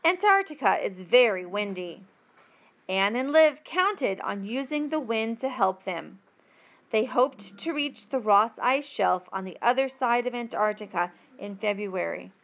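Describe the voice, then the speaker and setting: A woman reading a textbook passage aloud as a teacher would, with careful, deliberate phrasing. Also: on the high side, lively intonation, fairly bright tone.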